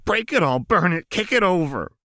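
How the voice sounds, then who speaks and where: A man's voice: high voice, expressive, normal speed, pushed and bright, no echo, clean studio sound, voice pushed up. A man voicing a volatile, wounded young woman, a manic gunslinger, with an erratic, spitting delivery in a narrated story. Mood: bitter, destructive.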